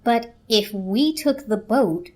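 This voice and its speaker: A woman's voice: fairly high pitch, lively intonation, slow, a little mellow. A woman voicing an earnest, anxious little toaster with firm principles in a dramatic storybook reading. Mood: insistent. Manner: insistent, morally earnest.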